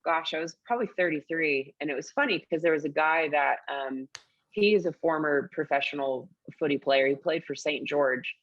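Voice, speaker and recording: A woman's voice: sits low, normal speed, no room sound, dull audio. An Australian woman in her late thirties, a podcast guest talking casually and bluntly, swearing freely as she tells stories. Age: late 30s. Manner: blunt, profane, animated storytelling.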